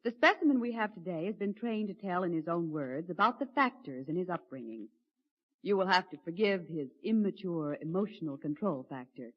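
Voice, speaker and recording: An actress playing a female scientist who lectures crisply and pleads when upset, in a vintage radio drama. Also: on the low side, animated, brisk, no room sound. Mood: clinical. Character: female scientist, clinical turned tender. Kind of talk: 1950s radio drama acting. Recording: dry, muffled vintage radio broadcast.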